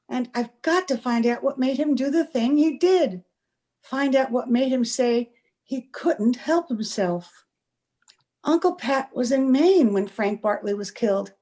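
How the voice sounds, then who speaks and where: A woman's voice: sits high, animated, unhurried, dark tone. A woman in an old-time radio play, acting an anxious, devoted young sweetheart in an earnest, fretful, melodramatic manner. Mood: earnest, troubled. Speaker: amateur radio play actor. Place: home, over a video call.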